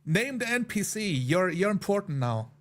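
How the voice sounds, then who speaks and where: A man with a fairly high voice chats as one of the players in a tabletop game. His talk is casual and conversational. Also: sing-song, normal speed. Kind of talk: casual chat.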